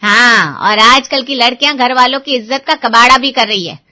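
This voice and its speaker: A woman voicing a stern, nagging Indian mother in an animated moral story. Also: on the high side, moderate pace, dry recording, studio quality. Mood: indignant, scolding. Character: strict, scolding Indian mother of a grown daughter.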